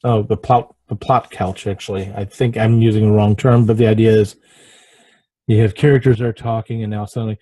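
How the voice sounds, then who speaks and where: A man hosting an informal writing lesson, halting and apologetic as he talks and reads aloud, often stumbling over his words. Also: lowish voice, little pitch movement, dark tone, no echo. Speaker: host of a writing podcast. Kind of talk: informal lesson with passages read aloud from a book.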